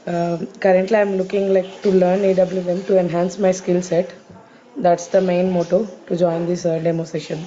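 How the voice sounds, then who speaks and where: A woman introduces herself on a class call. Her voice is very dark and mellow, lowish and flat, and she pauses often on fillers. The call audio is dull. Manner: filler-heavy, measured. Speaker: SAP consultant, student. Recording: muffled video call audio.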